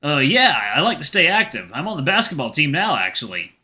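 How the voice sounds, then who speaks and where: Man playing an earnest, bright-voiced college boyfriend. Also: a little high, big pitch swings, brisk, pitched above the performer's own voice, heavily acted. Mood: modest, hopeful. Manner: polite, hesitant, earnest. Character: polite, earnest young male college athlete boyfriend.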